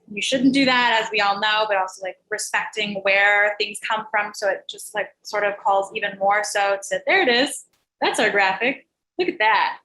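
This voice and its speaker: A woman's voice: mid-range voice, sharp tone, slight background noise, poor audio. Chatty woman hosting a meetup. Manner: chatty, many "like" fillers. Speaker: meetup host. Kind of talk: casual group video chat.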